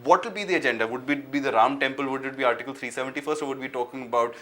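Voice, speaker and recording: A man's voice: medium pitch, very fast, a bit bright. A man moderating a live TV panel, polite and often stammering on restarts. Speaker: TV news anchor.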